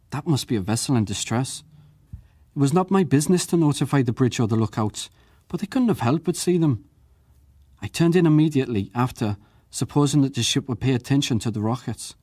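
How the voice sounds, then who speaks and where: A man acting as a working ship's crewman giving testimony at an inquiry, plain-spoken, measured and deferential, in a dramatized reading. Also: medium pitch, a little mellow, no echo, studio quality, lightly acted. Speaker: witness.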